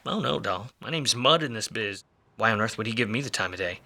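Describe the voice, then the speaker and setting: An actor playing a hard-drinking, wisecracking, jaded 1940s private detective in a film noir radio play, with sardonic, slangy delivery. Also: male voice, fairly low pitch, lively intonation, a little fast, bright, forceful tone, heavily acted. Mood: needling, feigned innocence.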